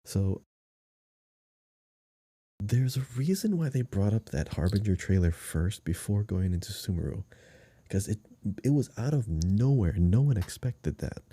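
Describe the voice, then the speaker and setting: A man's voice: on the low side, very animated, measured pace, mellow, slightly rough, no room sound. A man talking casually as a co-host on a gaming podcast.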